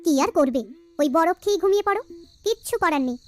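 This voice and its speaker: A very high-voiced, nagging village housewife from a cartoon fable, acted by a woman. She scolds. Faint music plays underneath. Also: lively intonation, fast talker. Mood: exasperated. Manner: sharp-tongued, nagging. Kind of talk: cartoon voice acting.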